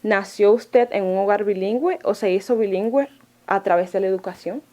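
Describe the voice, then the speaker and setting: Woman podcast host, polite formal questions, short replies between answers, mid pitch. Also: moderate pace, warm tone. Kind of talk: interview questions.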